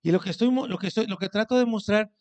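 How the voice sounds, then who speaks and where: High, smooth-voiced pastor preaching. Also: male voice, quick pace, darkish tone. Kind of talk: sermon.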